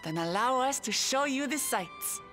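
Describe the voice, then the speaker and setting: An actress playing a warm, caring, upbeat young leader in a video game, with a friendly, plain-spoken manner. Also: female voice, sits high, animated, measured pace, faint music behind. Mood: reassuring, upbeat. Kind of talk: scripted video game cutscene acting.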